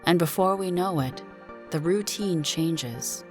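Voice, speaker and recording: A woman giving a dramatic first-person reading of a suspense story, with deliberate pauses. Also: on the low side, over music. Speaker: narrator and performer of a story.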